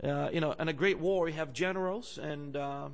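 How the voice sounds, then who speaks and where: Young male guest preacher from Bulgaria, slightly high and gravelly, halting delivery with fillers. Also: fairly even intonation. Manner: hesitant, many fillers, louder when preaching and reading scripture. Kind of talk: sermon. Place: church.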